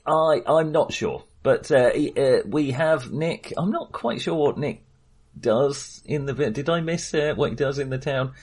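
A husky-voiced man co-hosting a casual film discussion in a hesitant, tentative manner. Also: mid-range voice, unhurried, a little mellow. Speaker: film podcast co-host.

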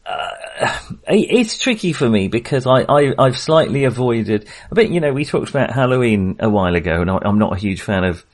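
A man's voice: medium pitch, very animated, fairly quick. A man in a casual film discussion, thinking aloud in a hesitant way and correcting himself as he goes. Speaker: film podcast host.

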